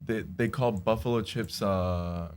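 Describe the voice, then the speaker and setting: A man's voice: lowish voice, a little slow, darkish tone, clear, resonant tone. Chatty young man from Honduras. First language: Spanish. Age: 20s. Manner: chatty, laughs often, many fillers. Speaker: guest. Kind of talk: casual podcast chat.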